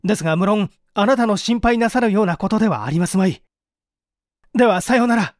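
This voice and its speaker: A man voicing a courteous, earnest, worried young gentleman in an audiobook reading. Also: high-pitched, lively intonation, fairly quick, no echo, studio quality, pitched above the performer's own voice. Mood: urgent, resolute. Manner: polite and earnest. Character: earnest, courteous young master, worried about his father. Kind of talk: audiobook character voice.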